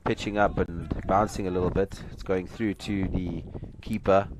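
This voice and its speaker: A man from South Africa with a South African accent, giving conversational, somewhat halting live cricket commentary. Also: low-pitched, steady tone, noisy background. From Cape Town, South Africa. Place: outdoors at a cricket ground.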